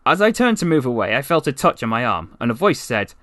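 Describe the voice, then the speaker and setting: Male actor as wry, lovestruck half-alien storyteller: bright, very animated, gushing asides, comic audiobook read, close dry sound. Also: mid-range voice, fast talker, dry recording. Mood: chatty, wry. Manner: conversational, rambling asides, gushing. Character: chatty, besotted, wry half-alien man from Dorset. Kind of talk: first-person comic story narration, voice acting practice.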